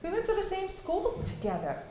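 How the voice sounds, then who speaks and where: A woman's voice: very high voice, huge pitch swings, normal speed, dark tone, slight background noise, heavily acted. A woman playing a trusting, defensive, idealistic wife in a stage play, earnest and emphatic. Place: indoor theatre stage. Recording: echoey hall, long reverb.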